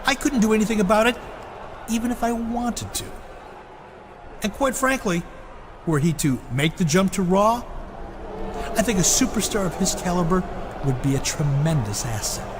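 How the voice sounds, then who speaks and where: A bureaucratic wrestling boss in a video game. His highish voice swings widely as he explains himself in formal, placating terms, with faint noise behind. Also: expressive. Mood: politely defiant. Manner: measured excuses, formal, placating. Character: smooth-talking, bureaucratic male wrestling show boss. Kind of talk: video game cutscene acting.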